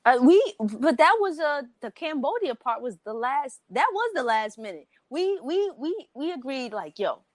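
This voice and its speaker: A woman's voice: high-pitched, big pitch swings, normal speed, few pauses, dry recording. A woman chatting informally and slangily with a friend on a podcast. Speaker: podcast speaker. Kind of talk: casual chat between friends.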